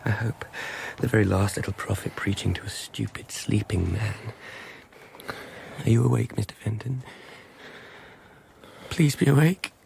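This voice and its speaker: An actor playing a frightened, zealous street preacher in a radio drama, fretful and rambling, with biblical phrasing and repeated words. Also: male voice, on the low side, measured pace, gravelly. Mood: rambling, forlorn. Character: frightened, zealous street preacher clinging to faith amid apocalypse. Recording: loud ambient noise behind the voice.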